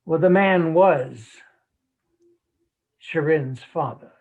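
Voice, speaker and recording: Man acting a gravelly, menacing rich household man. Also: fairly high pitch, narrow, tinny audio, heavily acted. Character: guarded, quietly menacing man of a wealthy household. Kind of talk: comedic mystery acting in an online play.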